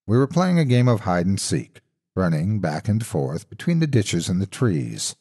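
A man narrating a scripted horror story in a husky voice, with suspenseful, expressive delivery. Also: sits low, moderate pace.

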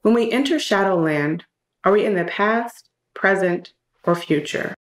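A woman's voice: medium pitch, measured pace. A woman hosting a discussion series with scripted introductions and questions. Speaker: host.

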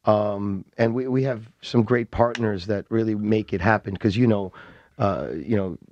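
A man's voice: on the low side, moderate pace, a little mellow, highs cut off. A man speaking as a podcast guest in a studio, rambling with fillers and restarts. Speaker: interview guest, film director. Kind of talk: casual interview. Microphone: studio mic on boom arm.

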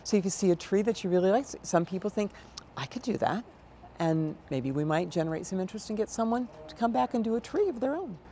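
Gravelly older American woman in interview. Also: medium pitch, expressive, fast, dark tone. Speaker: event director, interview guest. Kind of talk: conversational interview answers. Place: large exhibition hall, indoors. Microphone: lapel mic. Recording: background noise of an event hall.

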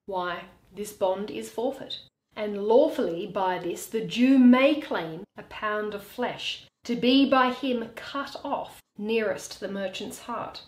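A woman in her thirties playing an earnest young male lawyer, deliberate and persuasive, in a Shakespeare reading. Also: medium pitch, natural voice. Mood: pleading, grave. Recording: echoey bare room.

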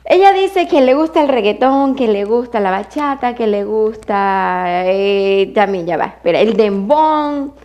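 A woman's voice: medium pitch, lively intonation, a little slow, few pauses, darkish tone, muffled recording. A woman co-hosting a TV talk show in a studio, chatty and playful as she introduces her guest. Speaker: TV show co-host. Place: TV studio set.

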